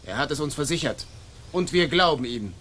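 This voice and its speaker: Radio-drama young caballero: bold, insistent, bright high voice, declamatory delivery. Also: male voice, lively intonation, a little fast. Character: bold, proud young gentleman, spokesman for rebels. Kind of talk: German radio drama acting.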